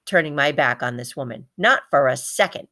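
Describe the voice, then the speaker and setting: Woman playing a proud golden hamster, storybook hero, low voice, dramatic, emphatic, indignant. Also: lively intonation, brisk, bright, forceful tone. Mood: defiant.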